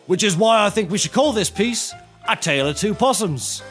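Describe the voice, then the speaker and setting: A man's voice: sits high, expressive, normal speed, fairly bright tone, faint music behind. A man playing a genial, grandiose eccentric amateur taxidermist in a scripted audio comedy, speaking in florid, theatrical phrases.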